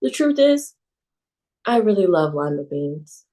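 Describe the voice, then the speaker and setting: A woman's voice: lowish voice, big pitch swings, a little slow, dark tone. A woman voicing a young girl who whines and cries out. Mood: whiny. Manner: whiny, cries out. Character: young girl with a strange illness.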